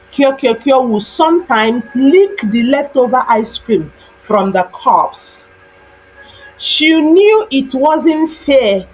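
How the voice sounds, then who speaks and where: Slow, high-voiced woman telling a folktale. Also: slightly rough, rough recording. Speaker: storyteller. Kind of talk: scripted children's folktale narration.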